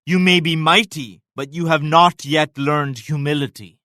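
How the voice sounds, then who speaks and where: Proud pious emperor, video game character, slightly high male pitch, grave measured declamation, dry close sound. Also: bright, forceful tone, no room sound. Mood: condescending. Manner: grave, measured, lofty pronouncements. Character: proud, pious Mughal emperor, solemn and righteous.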